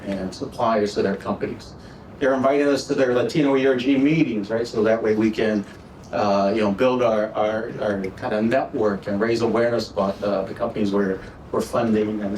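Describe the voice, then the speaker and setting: A middle-aged man with a Minnesota accent gives an informal talk at an awards event, pitched in a medium register. He speaks in a friendly, halting way, leaning on "uh" and "right?". His voice is picked up from a distance in a reverberant room with faint noise behind him. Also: darkish tone. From Texas, raised in Minnesota. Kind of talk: casual speech to an audience. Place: event hall. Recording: echoey room, voice from far off.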